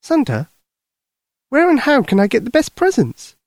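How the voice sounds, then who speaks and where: A man's voice: very high-pitched, huge pitch swings, moderate pace, vocal fry, no echo, clean studio sound, voice pushed up, heavily acted. A man voicing a sweet, eager little girl in a bedtime story, earnest and full of childlike questions. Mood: curious.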